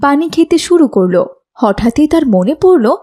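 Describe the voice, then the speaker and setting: A woman's voice: sits high, big pitch swings, a little slow, dark tone. A woman reading scripted narration for a children's story as its narrator.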